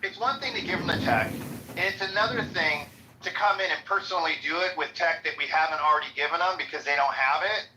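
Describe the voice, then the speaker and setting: A man with a high, nasal voice talking casually, stumbling over restarts and turning blunt when he gets heated. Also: fast talker, pushed and bright, slight background noise. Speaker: room host. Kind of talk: casual conversation. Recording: dull lo-fi audio.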